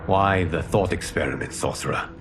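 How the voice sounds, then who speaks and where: An actor playing an earnest male guardian leader in a fantasy video game, speaking in a formal, measured way. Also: deep voice, background noise. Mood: grave, resolute. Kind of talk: dramatic video game acting.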